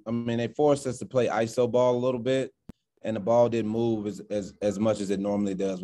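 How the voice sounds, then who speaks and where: A basketball coach in his thirties speaks over a video call after a game, his voice low and dark in tone. He delivers his answers in a flat, even line, pausing often with fillers and repeated words as he works through each thought. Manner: measured, halting, many fillers and restarts. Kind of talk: post-game press conference answers. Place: indoors in front of a sponsor backdrop. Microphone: video call, framed at desk distance.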